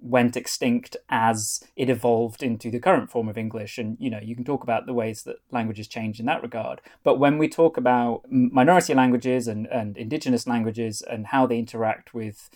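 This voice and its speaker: A man from North Wales explains himself as a guest on an interview show. He talks earnestly at an ordinary pitch. His delivery is loose, full of restarts and filler words. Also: fairly even intonation, a little fast, few pauses, darkish tone. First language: Welsh. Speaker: author and guest. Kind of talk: podcast interview.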